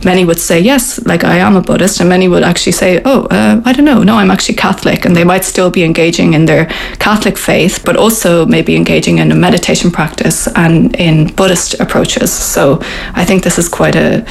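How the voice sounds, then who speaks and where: A fairly low-pitched woman who grew up speaking Irish talks in a relaxed, thoughtful way. She pauses often and restarts her sentences. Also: creaky. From Ireland. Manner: thoughtful, many fillers and restarts. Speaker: interviewee at a meditation centre. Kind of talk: relaxed explanatory talk.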